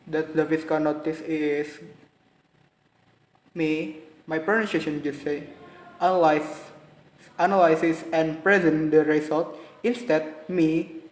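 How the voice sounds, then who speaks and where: A young man with an Indonesian accent giving a halting class presentation on pronunciation, repeating each word several times. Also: fairly high pitch. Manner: halting, repeats words for practice. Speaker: student presenter. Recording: roomy, reverberant with faint ambience.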